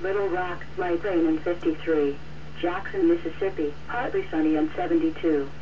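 A woman's voice: fairly low pitch, steady tone, moderate pace, recorded from a distance. A synthetic text-to-speech woman's voice reading out scripted weather conditions as an automated radio announcer. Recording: muffled, noisy radio receiver captured off-air.

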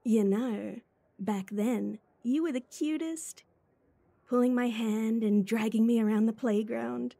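A woman acts out a playful, clingy young student pestering her best friend in an ASMR roleplay. Her voice sits a little high but stays soft and mellow, with a breathy edge. She is chatty and teasing, recorded dry and close. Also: takes it slowly, no echo, exaggerated voice. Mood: fond teasing. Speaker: voice actor.

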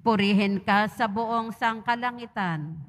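A woman lector reads slowly and solemnly at a pace that never hurries. Her mid-range voice rings out with a long church reverb behind it. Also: mid-range voice, a little hiss or hum. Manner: slow, measured, solemn reading. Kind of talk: liturgical scripture reading and responsorial psalm. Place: large church.

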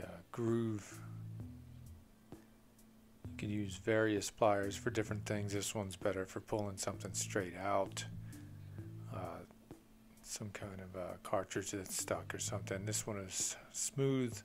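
Low-voiced contractor showing his tools. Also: fairly even intonation, faint music behind. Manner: plain, unhurried, with frequent "uh" fillers. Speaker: plumbing contractor. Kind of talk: off-camera tool walkthrough.

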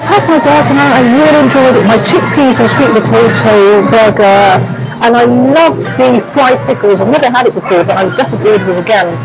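A woman's voice: fairly high pitch, brisk, poor audio. A young adult woman giving a casual review to camera in a restaurant, as a food vlogger. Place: indoors, restaurant booth. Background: music.

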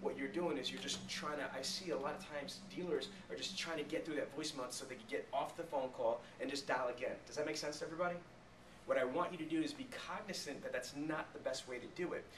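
A man's voice: mid-range voice, steady tone, fast. A man in his thirties giving an emphatic sales training talk to a small group, often stopping to ask rhetorical check questions. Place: small meeting room with a flip chart. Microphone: camera mic a few metres away. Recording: echoey room, distant mic, faint noise.

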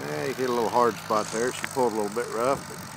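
A man, narrating casually in a folksy, unhurried way while filming his tractor on a small farm field with a handheld phone. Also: mid-range voice, fairly even intonation, gravelly. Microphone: handheld phone camera. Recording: outdoor phone audio with tractor engine noise.

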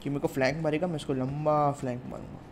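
Young indian man, casual game commentary, slightly high voice, faint hiss behind. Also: fairly even intonation, fast, darkish tone. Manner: chatty, addresses viewers as guys and brother. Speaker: mobile game streamer.

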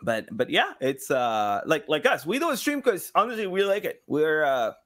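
A man in his thirties chatting casually as a podcast guest, telling anecdotes in an expressive, joking way. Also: on the high side, normal speed. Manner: joking, expressive, hands-on storyteller. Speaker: podcast guest, game sound designer.

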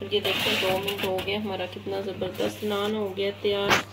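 A woman's voice: medium pitch, normal speed, heavy background noise. Woman explaining cooking step by step. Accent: Pakistani Urdu. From Pakistan. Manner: calm, repetitive, pointing things out to viewers. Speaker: home cooking channel host. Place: home kitchen. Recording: kitchen clatter of dishes and pans.

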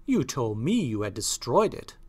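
Man acting an anguished, agitated gentleman, wildly swooping pitch. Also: very animated. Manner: agitated, rushed, exclamatory. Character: anguished, moralising gentleman painter, horrified and pleading. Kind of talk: dramatised classic novel reading.

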